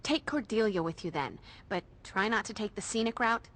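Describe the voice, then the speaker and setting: An actress playing a bossy, sarcastic teenage demon fighter with an American accent in a video game, blunt and slangy. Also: female voice, mid-range voice, lively intonation, moderate pace, a bit bright, natural voice.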